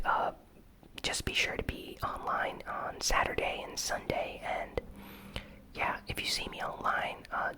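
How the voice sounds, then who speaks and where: A host whispering a casual ASMR gaming news recap in a breathy, unvoiced voice. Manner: casual, informal.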